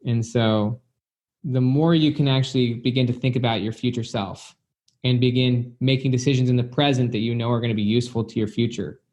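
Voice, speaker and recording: A man's voice: mid-range voice, steady tone, fairly quick, darkish tone. A man speaking as a guest in a conversational, motivational style, earnest and often circling back to repeat his point.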